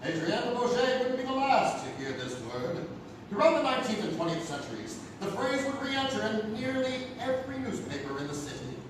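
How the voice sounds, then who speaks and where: Actor as animated archivist, echoey theatre. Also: male voice, very high voice, moderate pace. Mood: storytelling. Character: male archivist lecturer presenting werewolf legend evidence. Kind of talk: theatrical scripted narration to an audience. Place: live stage in a theatre. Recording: echoey noisy theatre, far from mic.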